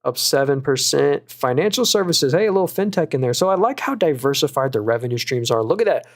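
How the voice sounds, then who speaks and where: A man's voice: medium pitch, animated. A young man hosting a live stream, reading viewers' comments and talking through stock picks in a casual, upbeat way with lots of fillers. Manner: casual, upbeat, quick asides, frequent fillers and restarts. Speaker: stock market livestream host.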